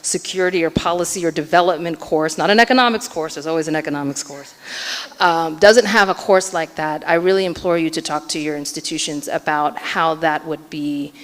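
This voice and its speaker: Keynote speaker, woman with a low voice, earnest, filler-heavy delivery, in a hall with long reverb. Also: brisk, a bit bright. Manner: earnest, hedging with frequent fillers. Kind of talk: conference panel answer. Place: conference hall.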